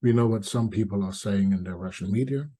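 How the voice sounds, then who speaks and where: Middle aged guy, mellow n kinda raspy, talking thru his thoughts carefully in short bits. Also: fairly low pitch, a little fast. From East Germany. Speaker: commentator presenting a video essay. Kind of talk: reflective spoken commentary to viewers.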